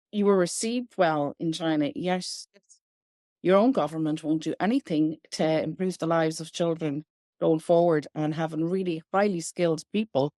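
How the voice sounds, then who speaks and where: A woman's voice: on the low side, darkish tone, no room sound, clean studio sound. A woman with an Irish accent hosting a podcast interview, asking casual, chatty questions. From Ireland. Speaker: podcast host.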